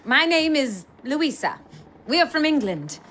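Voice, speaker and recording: A hopeful young Quaker dreamer in a stage-style audio drama. Her voice is fairly high and moves freely up and down. She sounds sincere and full of wonder. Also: big pitch swings, a little slow, fairly bright tone, full character voice. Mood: curious, drawn. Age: young adult.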